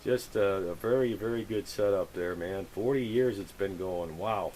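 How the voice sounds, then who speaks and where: A man's voice: on the low side, moderate pace, very noisy. A man chatting casually over the radio as an operator, effusive and full of radio jargon. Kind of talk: casual radio contact chat.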